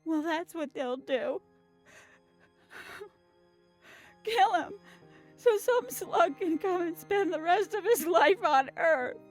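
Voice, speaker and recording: A woman's voice: very high-pitched, animated, takes it slowly, long pauses, soft background music, full character voice. An actress playing a guarded, defiant teenage girl in an audio drama, with a terse, defensive manner. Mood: bitter, upset.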